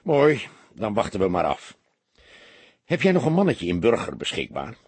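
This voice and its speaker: A man voicing a weary, dutiful veteran police detective with a dry, deadpan and pensive manner, in an audiobook. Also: lowish voice, normal speed, slightly rough, no room sound, exaggerated voice.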